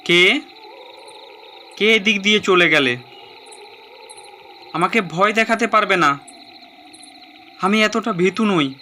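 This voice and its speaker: A man voicing a frightened young man who blusters nervously in an animated horror story. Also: high voice, a little hiss or hum, pitched above the performer's own voice, full character voice.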